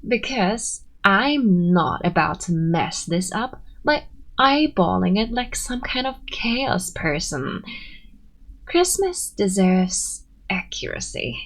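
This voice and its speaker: A woman's voice: fairly low pitch, gravelly, heavily acted. A woman playing a tsundere friend in an ASMR roleplay, brusque and defensive but secretly sweet. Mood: bossy, flustered. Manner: brusque, defensive, softening into shy warmth. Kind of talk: ASMR roleplay, scripted monologue to listener.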